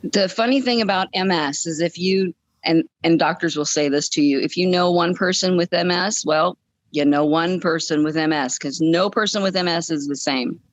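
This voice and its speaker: A woman's voice: on the low side, normal speed, no room sound. A woman in her fifties telling a personal story conversationally as a podcast guest, stressing points by repeating phrases. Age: 50s.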